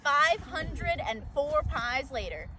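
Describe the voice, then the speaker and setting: A woman with a higher-pitched voice reads a children's story aloud. She is expressive, acting out dialogue and sound effects as a storyteller would. The recording is made outdoors, with clearly audible ambient noise behind her. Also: slow pace. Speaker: volunteer storyteller. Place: outdoors at an orchard event. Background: outdoor ambience and background noise.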